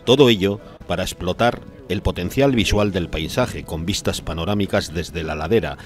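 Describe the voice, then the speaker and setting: A man's voice: deep voice, moderate pace. A man with an accent from Spain reading a scripted news voice-over as narrator. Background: music.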